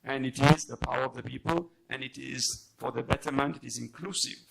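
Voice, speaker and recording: A man speaking as a journalist on a debate panel, halting but emphatic in his unscripted remarks. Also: medium pitch, gravelly, noisy background.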